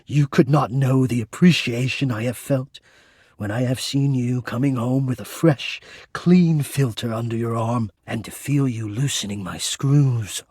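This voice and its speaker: An actor playing a shy, devoted, lovestruck male air-vent spirit in a romance video game, with breathy, formal, florid and earnest delivery. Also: mid-range voice, unhurried, darkish tone, dry recording, studio quality. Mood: yearning, tender.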